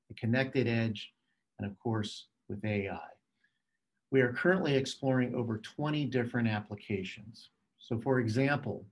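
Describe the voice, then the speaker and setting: Middle aged guy reading out a corporate keynote, medium pitch, measured and formal, phrase by phrase. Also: poor audio. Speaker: telecom executive giving a keynote. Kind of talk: scripted keynote presentation.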